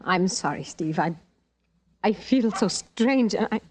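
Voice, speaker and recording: An actress playing an uneasy, brooding young woman in a vintage radio drama, with hushed, earnest, poetic delivery. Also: medium pitch, expressive, takes it slowly. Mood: foreboding. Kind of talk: 1940s-50s radio drama acting.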